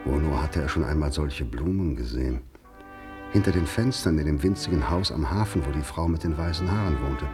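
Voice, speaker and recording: An actor as the composed, wry storyteller of a radio play, narrating in a measured, dry, literary manner. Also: male voice, very deep voice. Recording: studio radio play with music underneath.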